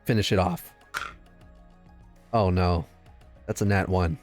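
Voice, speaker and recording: Low-voiced man playing a tabletop game. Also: steady tone, slow pace, a little mellow. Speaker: player in a tabletop roleplaying game. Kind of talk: casual game chat. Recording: music under the voice.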